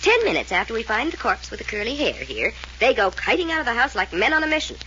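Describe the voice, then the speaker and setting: A sardonic young woman of a wealthy family in an old-time radio mystery serial. Her pitch swings expressively as she drawls key words with cynical flippancy. The recording is old, with a light bed of noise. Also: sing-song, quick pace, bright, forceful tone, rough recording. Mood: mocking, probing.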